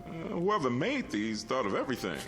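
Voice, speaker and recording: An actor playing a chatty, easily impressed meter reader in a radio drama, folksy, jovial and exclamatory. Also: male voice, mid-range voice, wildly swooping pitch, a little fast. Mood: jovial, curious. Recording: music under the voice.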